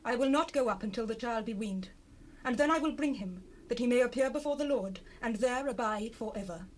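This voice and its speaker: A woman's voice: a little high, a little fast, close to an everyday voice. A woman playing a devout, sorrowful biblical wife in a dramatized scripture reading, speaking fervently in formal archaic language on an old cassette. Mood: earnest, devout. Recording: noisy unprocessed 1970s cassette rip.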